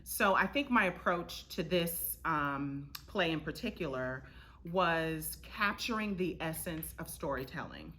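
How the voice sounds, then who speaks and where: A woman's voice: low voice, sing-song, unhurried, bright, forceful tone. A woman from Florida, a theater director and actress, giving thoughtful answers as an interview guest. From Florida, United States.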